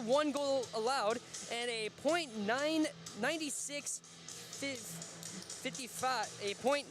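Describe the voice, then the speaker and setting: Young male hockey announcer, very high voice, chatty, stumbles over numbers, music bed underneath. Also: fairly bright tone. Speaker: play-by-play announcer. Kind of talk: local sports broadcast commentary.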